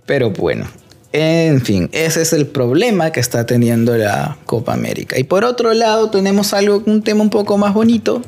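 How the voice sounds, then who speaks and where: A man's voice: fairly high pitch, very animated, moderate pace, creaky, darkish tone. A man co-hosting a casual podcast chat, explaining football news at length with playful asides. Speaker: co-host.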